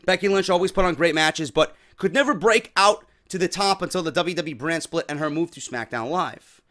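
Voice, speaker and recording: A man hosting a wrestling news show, giving blunt, profane opinions and getting loud when worked up. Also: a little high, a little fast. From New York.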